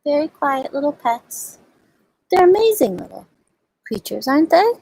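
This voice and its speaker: A woman hosting a storytime for young children, explaining and reading aloud in simple words with little questions, in a slightly husky voice. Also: sits high, very animated, moderate pace, a little mellow, poor audio. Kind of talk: show-and-tell and read-aloud for young children.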